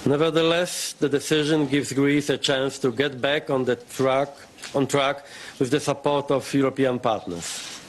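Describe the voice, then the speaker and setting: A man delivering a formal press statement in a deliberate way. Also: medium pitch, steady tone, slight background noise. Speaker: official giving a statement.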